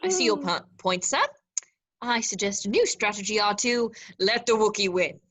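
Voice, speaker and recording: A woman's voice: mid-range voice, lively intonation, normal speed, a bit bright. A woman playing a fussy, anxious, polite protocol droid with a prim, formal manner in a script read. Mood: anxious, conceding. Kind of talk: scripted table read acting.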